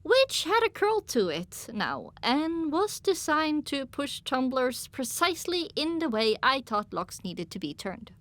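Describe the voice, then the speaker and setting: A woman's voice: high-pitched, big pitch swings, a little slow, few pauses, a bit bright, dry recording. A woman reading scripted first-person story narration as an audiobook narrator.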